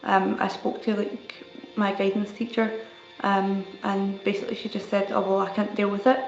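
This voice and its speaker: Young woman, flat testimony. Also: mid-range voice, moderate pace, creaky. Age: young adult. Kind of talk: video testimony. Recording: roomy, dull video soundtrack, faint music.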